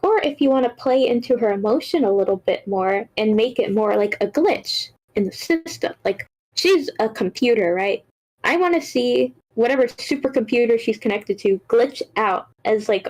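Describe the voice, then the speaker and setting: High-voiced woman giving casual feedback. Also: a little mellow, low-quality recording. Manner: informal, rambling, frequent "like" and swearing. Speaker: participant giving script feedback. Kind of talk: casual spoken critique.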